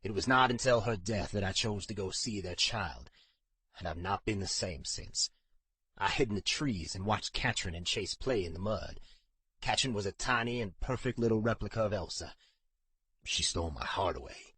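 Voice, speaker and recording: A man's voice: fairly low pitch, lively intonation, moderate pace, sharp tone, husky, dry recording, exaggerated voice. Man voicing a brooding older man confessing his past. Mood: somber, regretful. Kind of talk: audiobook character acting.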